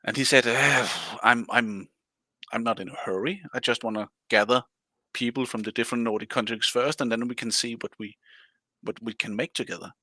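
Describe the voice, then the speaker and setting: A man's voice: mid-range voice, steady tone, fairly quick, bright, forceful tone, no echo. A man in his forties from Denmark, a guest chatting casually on a podcast and rambling with many restarts. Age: 40s. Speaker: guest, scenario writer.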